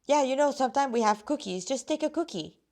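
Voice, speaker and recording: A woman's voice: sits high, lively intonation, fast, dark tone. A woman with a slightly rusty voice reading her own story aloud, casually and with rambling asides, often breaking off mid-sentence.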